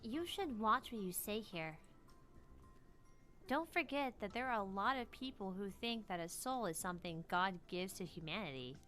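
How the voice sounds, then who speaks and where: A woman reading character dialogue aloud from a visual novel in an expressive style. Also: mid-range voice, normal speed, soft background music. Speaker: let's play co-host voicing character dialogue.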